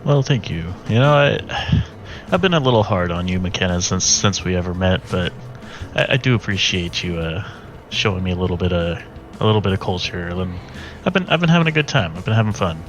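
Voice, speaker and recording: Man playing a male space pilot, lowish voice, informal, grumbly but good-hearted, tabletop game, music behind. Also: animated, fairly quick. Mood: sincere.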